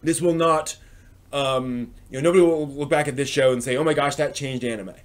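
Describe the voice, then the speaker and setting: Male live stream host, unscripted reviewer, mid-pitched, conversational, thinks aloud and rephrases as he goes. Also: fairly even intonation. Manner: halting and discursive, circles back to rephrase. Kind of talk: casual unscripted review commentary.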